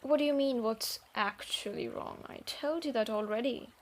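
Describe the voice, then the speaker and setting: A woman playing a guarded, troubled thief in a fantasy audio drama, speaking haltingly and reluctantly softening. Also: fairly high pitch, lightly acted. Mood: amused, softened. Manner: hesitant, sighing, halting delivery. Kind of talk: scripted roleplay audio drama.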